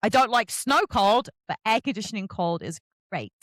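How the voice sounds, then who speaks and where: A middle-aged woman, hosting a casual, chatty interview and telling self-deprecating stories in an animated way. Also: medium pitch, normal speed, dry recording. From England. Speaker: podcast host interviewing an author.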